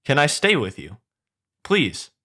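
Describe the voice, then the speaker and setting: Talking donkey, male, pushy and chatty, pitched well up, bright and loud, read by a man. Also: wildly swooping pitch, takes it slowly, full character voice. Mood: pleading. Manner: rambling, eager, pleading.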